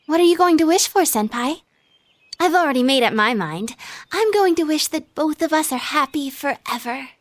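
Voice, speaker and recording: High-voiced, coy teen idol girl. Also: animated, normal speed. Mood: hopeful. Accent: American. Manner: cutesy, coy, playful. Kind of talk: anime video game dub acting.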